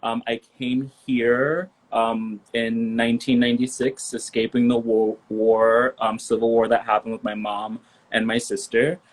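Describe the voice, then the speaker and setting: A man in his thirties from Liberia speaks as an interview guest in a mid-pitched voice. He is upbeat and giggly, stringing his thoughts together with ums and likes. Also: level tone, a little slow, hardly any pauses, low-quality recording. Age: 30s. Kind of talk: casual interview chat.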